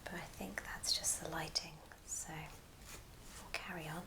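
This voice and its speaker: A woman whispering a gentle, step-by-step ASMR craft tutorial in a soft, breathy voice. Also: low-pitched, fairly even intonation, low-quality recording. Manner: unhurried, gentle step-by-step instruction. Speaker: ASMR host demonstrating a craft. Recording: clear background hiss and clicks.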